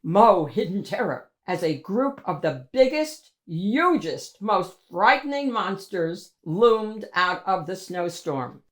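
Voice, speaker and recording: A woman narrates a children's picture book with dramatic emphasis. Her pace is slow and her pitch moves widely, giving an animated read-aloud delivery. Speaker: storybook reader and host.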